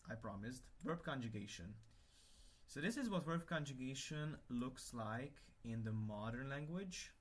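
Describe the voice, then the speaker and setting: A young man with a Hungarian accent, a native Hungarian speaker, casually explaining his constructed language and thinking aloud with hesitant self-corrections. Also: medium pitch. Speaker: conlang creator. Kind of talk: casual screen-share explanation.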